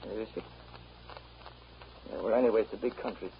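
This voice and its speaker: An actor playing an obsequious, hesitant and wordy Irish rent agent with an Irish accent in a 1930s radio drama. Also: male voice, lowish voice, fairly even intonation, fairly quick, husky. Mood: nervously enthusiastic. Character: deferential, rambling Irish rent agent, anxious before his betters. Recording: muffled, hissy 1930s radio broadcast.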